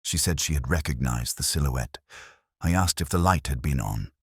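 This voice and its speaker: A man narrating a first-person detective story in a measured, literary, brooding manner. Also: rumbling low, lively intonation, a bit bright, husky, no echo, clean studio sound.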